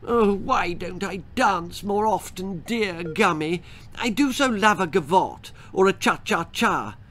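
A man voicing a flighty, excitable young female tooth fairy with a shrill, trilling voice in a children's story. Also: a little slow. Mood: excited.

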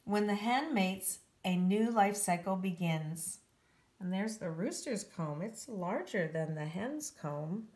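Woman teacher, lively pitch swings, unhurried, careful children's read-aloud, echoey room. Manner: careful, clearly phrased read-aloud delivery with emphasis for children. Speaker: elementary school teacher. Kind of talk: reading a children's nonfiction book aloud to pupils. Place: indoors at home, hard-surfaced kitchen. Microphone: handheld phone. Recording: echoey room, handheld home recording.

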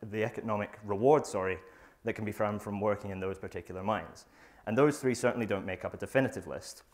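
A young man presenting his research in a lecture theatre, reading from notes with a lapel mic, often pausing with fillers and restarting phrases. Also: fairly low pitch. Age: young adult. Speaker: student presenter. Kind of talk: academic conference talk.

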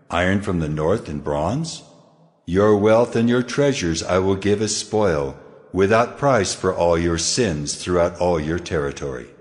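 A man voicing God in a dramatized scripture reading, grave and commanding, passing stern judgment. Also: low voice. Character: God speaking in stern, solemn judgment, male. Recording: long cavernous reverb.

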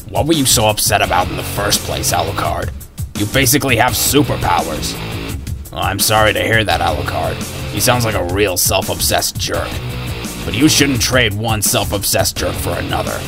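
A man acts out a cocky, sardonic schoolboy gunslinger from a video game. The voice is low and gravelly, with slangy, foul-mouthed snark and a caring streak. Music plays behind it. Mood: friendly, reassuring. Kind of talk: video game character voice acting.

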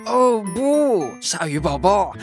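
A man voicing a kindly old grandpa shark in a children's cartoon, gently coaxing, with a Taiwanese Mandarin accent. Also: high voice, very animated, slow pace, heavily acted. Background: children's music.

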